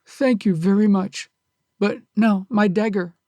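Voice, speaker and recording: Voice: mid-range voice, measured pace, dark tone, no room sound, voice pushed up, natural voice. A storyteller voicing a polite, earnest young male traveler who pleads courteously. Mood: anxious.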